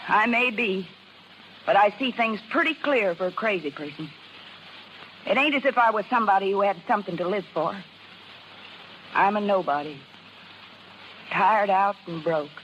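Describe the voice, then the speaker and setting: An actress playing a tired, broke carnival dancer in a 1930s American radio drama, speaking hesitant, folksy slang. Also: female voice, mid-range voice, normal speed, long pauses, fairly bright tone, muffled recording, a little hiss or hum, close to an everyday voice. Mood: despairing, resigned. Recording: vintage 1930s radio, faint music behind.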